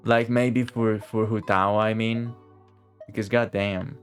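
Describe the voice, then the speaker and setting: A man's voice: on the low side, level tone, slow pace, clear, resonant tone, soft background music. A man streaming a game with casual, rambling commentary, repeating words and swearing now and then. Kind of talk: casual live gameplay commentary.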